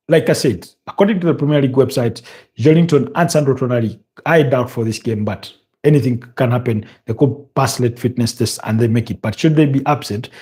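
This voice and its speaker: A man with a Ugandan accent and a mellow, warm voice at an ordinary middle pitch. He previews football matches in a loose, off-the-cuff manner, stopping often to search for words. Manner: halting, many fillers and restarts. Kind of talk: casual live-stream football talk.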